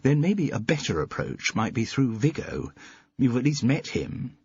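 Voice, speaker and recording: A man voicing a sceptical, sharp-tongued woman who questions her friend sardonically. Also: mid-range voice, very animated, measured pace, breathy edge, highs cut off, pitched above the performer's own voice, heavily acted. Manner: sardonic, impatient.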